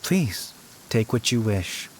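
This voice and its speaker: Game role: humble, enigmatic shrine keeper, male, courteous formal diction, unhurried and serene, over music. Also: on the low side, very animated, a little hiss or hum. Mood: calm, courteous. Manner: formal, measured, cryptic. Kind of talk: video game character dialogue. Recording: music behind.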